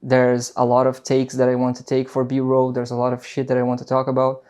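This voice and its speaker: Young brazilian guy vlogging off the cuff, accented english, warm low tone, kinda monotone, lots of "like" and restarts. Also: brisk, clean tone. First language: Portuguese. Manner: rambling, frequent fillers and restarts, casual swearing. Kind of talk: unscripted casual monologue.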